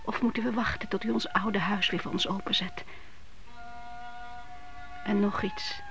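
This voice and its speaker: A woman's voice: medium pitch, fairly even intonation, unhurried, creaky, over music, rough recording. An actress playing a devout, stubborn widowed mother in a radio play, speaking in a solemn, imploring, emphatic way. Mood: anguished prayer. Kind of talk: radio drama acting.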